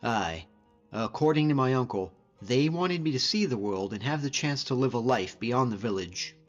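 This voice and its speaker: A man playing a friendly, modest lone-wolf hunter as a video game companion, speaking in an earnest, measured way. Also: mid-range voice, expressive, muffled recording, soft background music, lightly acted. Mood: reflective, candid.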